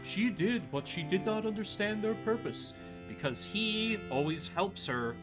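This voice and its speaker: Player putting on a tired regretful priest guy, formal n grave, slow, music behind. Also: medium pitch, lively intonation, close to an everyday voice. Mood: confessing. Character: weary, regretful male priest, grave and soothing. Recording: music under the voice.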